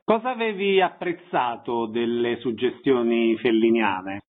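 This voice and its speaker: A man's voice: medium pitch, a little slow. A man working as a radio host, interviewing guests about theatre. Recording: muffled lo-fi radio audio.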